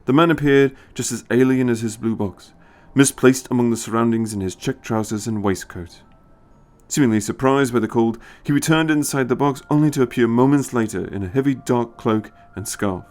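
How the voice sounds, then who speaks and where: A man's voice: mid-range voice, moderate pace, a little mellow. A man reading scripted story narration as the narrator.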